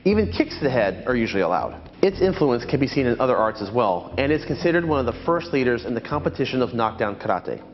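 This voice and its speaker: A man hosting a martial arts channel, giving a scripted, measured and earnest documentary narration. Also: mid-range voice, very animated, in a large hall, highs cut off, a little hiss or hum. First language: not Japanese.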